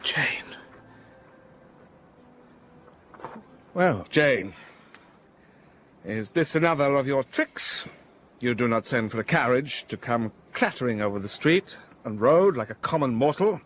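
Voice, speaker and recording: A teasing, brooding master. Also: male voice, medium pitch, animated, measured pace, fairly bright tone, a little hiss or hum. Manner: teasing, mock-reproachful. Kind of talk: radio drama acting.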